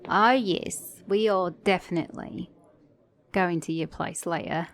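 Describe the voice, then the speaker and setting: A woman's voice: fairly low pitch, measured pace. A woman voicing a flirty, sassy, caring mechanic in an intimate ASMR romance roleplay, teasing the listener as her date. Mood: flirty, sly. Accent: British or Australian.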